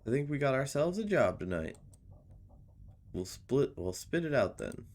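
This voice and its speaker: A man's voice: mid-range voice, very animated, moderate pace, a little mellow, voice pushed up. A man reading a visual novel's part of a scheming small-time robber, eager for gold, in a slangy, conspiratorial way.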